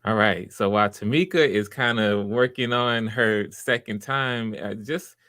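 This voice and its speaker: A man's voice: on the low side, steady tone, unhurried, fairly bright tone, no echo, studio quality. A man hosting auditions and giving encouraging, conversational feedback, often restarting his phrases. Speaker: audition host and director.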